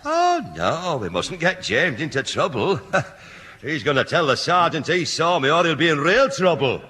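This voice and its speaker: A man's voice: fairly high pitch, very animated, moderate pace, bright, forceful tone. An actor playing an exasperated, threatening, short-tempered man in an old radio sitcom, blustering and scolding. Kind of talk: 1970 radio sitcom acting. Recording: noisy reverberant old radio recording.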